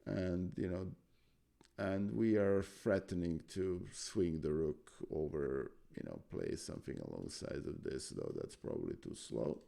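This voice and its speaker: A man's voice: deep voice, measured pace, gravelly, dark tone. A man whose first language is Serbian, thinking aloud through chess moves on a live stream, hesitant and full of fillers. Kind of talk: casual chess analysis on stream.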